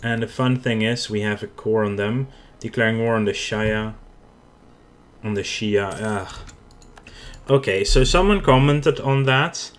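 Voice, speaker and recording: A man's voice: lowish voice, a little slow. A man with a Dutch accent talking casually through his strategy game as he plays, thinking aloud and correcting himself as he goes. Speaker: gaming channel host. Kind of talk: casual let's play commentary.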